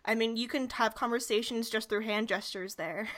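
A woman's voice: a little high, moderate pace, a bit bright. A woman hosting a podcast, chatting casually and gushing with praise for her guest. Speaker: podcast host. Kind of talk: casual interview chat.

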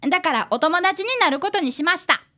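A woman voices an eager fifth-grade boy in a detective radio drama. The voice is high, bright and animated. He is polite and earnest, with a Kansai accent, as he narrates and talks. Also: fairly quick, exaggerated voice. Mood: earnest reporting. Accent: Kansai Japanese.

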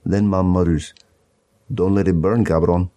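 A man with a very low, mellow voice reads narrative poetry aloud. His tone is dark and soft-edged, suited to a literary recital. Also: fairly even intonation, unhurried. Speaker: poetry reader. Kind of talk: literary narrative poem reading.

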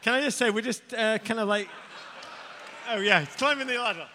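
A man's voice: high-pitched, a little fast, bright, forceful tone, a little hiss or hum. A British man co-hosting a live stage interview with jokey, theatrical banter. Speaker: co-host interviewing a guest on stage. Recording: echoey theater hall with live audience.

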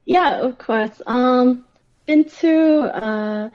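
A woman's voice: high-pitched, extremely slow, dull audio. A woman with an Australian accent chatting casually and telling personal stories in an informal, talkative way, as a guest in an interview. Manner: chatty, animated retelling with acted-out quotes and frequent "like".

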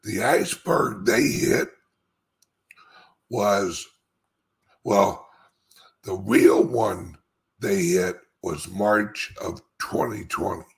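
A man with a low, raspy voice hosts an informal commentary for his followers. He speaks extremely slowly, with long dramatic pauses and gravelly fry. He leans hard on key phrases and repeats them for emphasis. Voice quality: speech impediment. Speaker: cryptocurrency commentator and show host.

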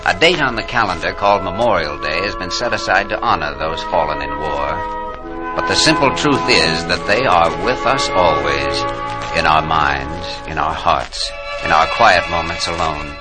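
A man acting as a solemn, reverent narrator in a 1940s radio drama honoring the war dead, speaking in measured oratory. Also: deep voice, close to an everyday voice, low-quality recording. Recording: vintage 1940s radio, loud music behind.

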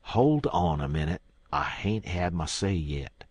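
A man voicing a cool-headed, scheming gang leader in an audiobook, with deliberate, persuasive rustic talk. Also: low-pitched, lively intonation, a little mellow, husky, dry recording, heavily acted. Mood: calmly scheming. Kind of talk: audiobook character acting.

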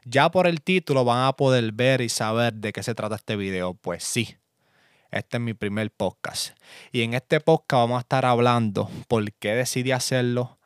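A young man from Puerto Rico with a Puerto Rican accent, hosting a casual solo podcast from his home studio in a relaxed, chatty way with lots of fillers. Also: lowish voice, smooth, dry recording. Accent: Puerto Rican Spanish. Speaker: podcast host and music producer.

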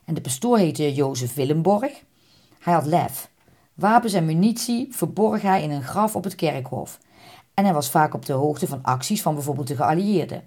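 A woman narrates a documentary podcast from a script. Her voice sits low for a woman and has a mellow, rounded tone. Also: animated, normal speed.

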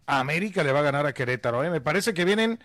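A Mexican man co-hosting a sports radio show, relaxed and easygoing as he gives his match predictions. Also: fairly high pitch, fast talker. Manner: relaxed, easygoing, hesitant fillers. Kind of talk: casual chat and match predictions.

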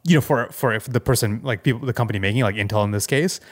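A man's voice: medium pitch, fast. Man chatting loosely about tech. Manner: rambling, informal, talks in loose asides. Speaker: podcast co-host. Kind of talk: casual tech chat.

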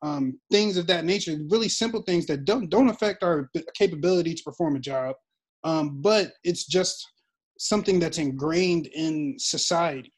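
A man's voice: a little high, moderate pace. A young man moderating a casual group discussion, thoughtful and rambling with many fillers.

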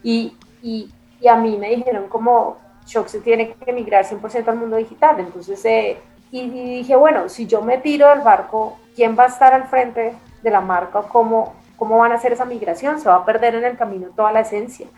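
A woman's voice: a little high, normal speed, hardly any pauses, darkish tone, rough recording. A woman from Bogotá with a Colombian capital accent, speaking as an interview guest in a casual, reflective and emphatic way, repeating phrases for stress. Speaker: interview guest, music and culture magazine editor.

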